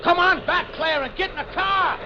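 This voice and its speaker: A man's voice: extremely high pitch, normal speed, vocal fry. An actor playing a blunt, impatient, streetwise male crook with slangy tough-guy talk in a 1940s radio mystery. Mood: panicked. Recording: dull, slightly noisy 1940s radio recording.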